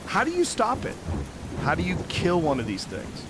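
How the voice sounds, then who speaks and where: An actor playing a weary, shaken survivor hosting an emergency radio broadcast in a drama, with plainspoken, informal, uneasy delivery. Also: male voice, high-pitched, wildly swooping pitch, normal speed, very noisy. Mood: alarmed questioning.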